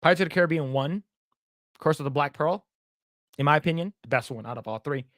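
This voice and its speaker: Young guy podcast host, kinda high voice, rambly and blunt, dry sound. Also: fast talker, a bit bright, no echo. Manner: rambling, blunt, casual swearing. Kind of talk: casual chat.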